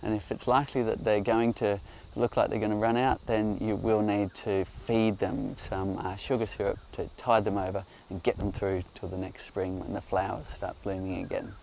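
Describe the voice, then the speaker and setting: Low-pitched aussie guy showing his hives outside, gentle and easy, faint bee buzz in the background. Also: normal speed, creaky, hardly any pauses, slight background noise. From Australia. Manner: calm, gentle, explanatory. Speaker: beekeeper host. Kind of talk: live outdoor beekeeping demonstration and Q&A. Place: outdoors on a hillside among beehives. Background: faint outdoor ambience and buzzing bees.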